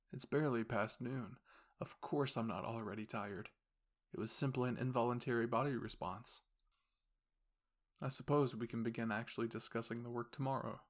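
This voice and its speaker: A man's voice: mid-range voice, lightly acted. A man voicing a composed, formal young university student and caring boyfriend, speaking intimately and reassuringly to the listener in an audio roleplay. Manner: measured, formal, gently reassuring phrasing.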